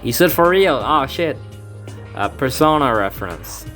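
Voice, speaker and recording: A man's voice: mid-range voice, expressive, a little slow, over music. A man reading a fan game aloud, voicing its characters with casual asides and stumbles. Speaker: let's play fan dubber. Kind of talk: casual game playthrough with voiced dialogue.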